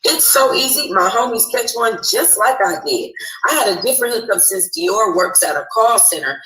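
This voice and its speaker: A woman's voice: mid-range voice, lively intonation, normal speed, pushed and bright, echoey room, low-quality recording. A woman playing a brash, loyal young female hustler telling her story in slangy, street-wise audio drama narration. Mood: brash, confiding. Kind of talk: dramatised first-person narration.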